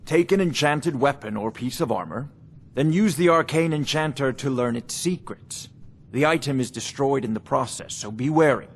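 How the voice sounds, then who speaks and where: A snooty bookish court wizard in a fantasy game, talks down to you, pompous. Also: male voice, mid-range voice, slightly rough. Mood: condescending, businesslike. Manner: pompous, condescending, deliberate phrasing. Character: haughty scholarly court wizard obsessed with dragon research. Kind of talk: video game character dialogue.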